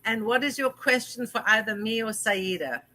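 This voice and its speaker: A woman's voice: on the high side, normal speed, pushed and bright, dry recording. A woman from South Africa hosting a call-in interview show, chatty and effusive with lots of fillers. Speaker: host.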